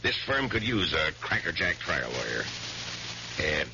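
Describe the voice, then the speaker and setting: An actor playing a genial older law partner, avuncular and measured, in an old radio drama. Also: male voice, a bass voice, big pitch swings, gravelly, pushed and bright, full character voice. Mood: approving. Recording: noisy dull 1970s radio recording.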